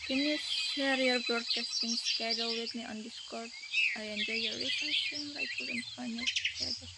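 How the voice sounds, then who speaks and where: A woman's voice: fairly high pitch, fairly even intonation, normal speed, very noisy. A woman game streamer chatting casually with her viewers, stumbling and repeating words as she plays. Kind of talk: casual live-stream chat. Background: loud game music.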